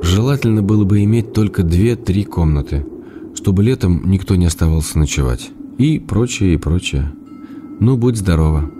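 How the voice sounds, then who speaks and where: A man's voice: sits low, expressive, normal speed, noisy background. An actor playing an ailing middle-aged writer, an ironic and tender husband reading his letters in a radio drama. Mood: affectionate. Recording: music playing behind the voice.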